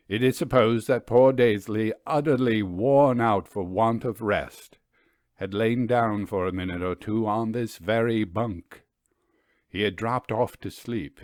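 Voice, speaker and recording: A man reads a literary ghost story in a lowish voice. His delivery is very slow and measured, with deliberate dramatic pauses. The sound is dry, with hardly any room around it. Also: dry recording. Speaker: audiobook story reader.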